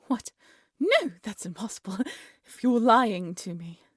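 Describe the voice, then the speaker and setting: A woman's voice: mid-range voice, very animated, unhurried, slightly rough, no echo, studio quality, voice pushed up. A woman playing a cruel, haughty evil queen in a fairy-tale audio drama, imperious and theatrical. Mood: enraged. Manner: imperious, menacing, theatrical commands.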